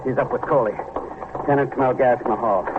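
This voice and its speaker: A man playing a dutiful, brisk policeman with a clipped manner, in 1950s radio crime drama style. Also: mid-range voice, close to an everyday voice. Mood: businesslike, urgent. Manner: clipped, deferential to superior. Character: dutiful, brisk city policemen answering their captain. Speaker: police officer. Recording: very noisy, tinny old radio recording.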